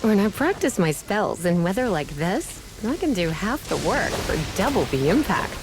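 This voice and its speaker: A woman's voice: mid-range voice, sing-song, normal speed, music in the background, lightly acted, low-quality recording. A woman voicing a confident, playful young fighter who teases in a video game. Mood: teasing, confident.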